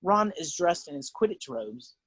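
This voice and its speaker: A man's voice: on the high side, big pitch swings, normal speed, a bit bright, slightly rough, no room sound. A man reading stage directions aloud as the narrator of a script read.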